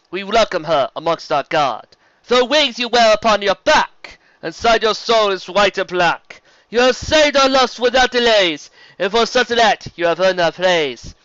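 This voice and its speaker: Man voicing a high, loud elder zebra matriarch. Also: no echo, lo-fi sound, exaggerated voice. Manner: declaiming in rhymed, ceremonial speech. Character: proud elder female zebra leader speaking in rhyme. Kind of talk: dramatic audiobook character voice.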